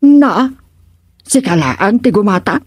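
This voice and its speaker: A woman's voice: medium pitch, sing-song, takes it slowly, a little mellow, slightly rough, no echo, exaggerated voice. A woman playing a curious, coaxing wife in a radio comedy drama.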